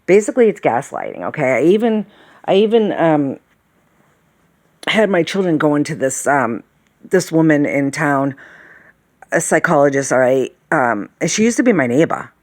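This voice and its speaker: A woman's voice: low-pitched, very animated, normal speed, no room sound, clean studio sound. Sighing, rambling woman in her late fifties. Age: late 50s. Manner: sighing, meandering, peppered with "okay?" and "all right?". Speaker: vlogger. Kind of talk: rambling casual monologue.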